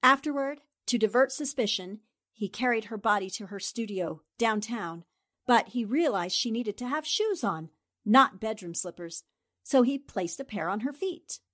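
A woman's voice: a little high, expressive, moderate pace, a bit bright. A woman playing a shrewd, confident newspaper reporter and amateur sleuth, theatrical and assertive in 1940s radio drama style. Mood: confident, triumphant.

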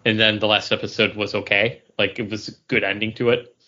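A man's voice: fairly low pitch, level tone, brisk, bright, forceful tone, dull audio. A man co-hosting a podcast, talking in a casual, conversational style about pop culture. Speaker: podcast co-host.

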